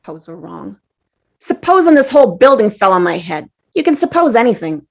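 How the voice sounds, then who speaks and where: A woman's voice: mid-range voice, sing-song, brisk, vocal fry. A woman playing a brash, impatient, argumentative juror in a table read of a stage drama. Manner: argumentative, flippant. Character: brash, impatient juror eager to get away.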